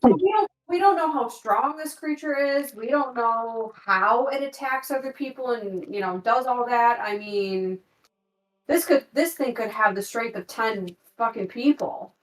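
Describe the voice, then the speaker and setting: A woman's voice: a little high, unhurried, recorded from a distance. A woman chatting casually as a player in a tabletop role-playing game. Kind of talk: casual chat. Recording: roomy, off-mic, faint music behind.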